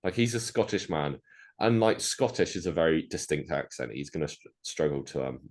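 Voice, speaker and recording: A man's voice: very deep voice, expressive, a little fast. A man co-hosting a film podcast, chatting casually and rambling with lots of restarts and fillers. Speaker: podcast co-host. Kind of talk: casual chat.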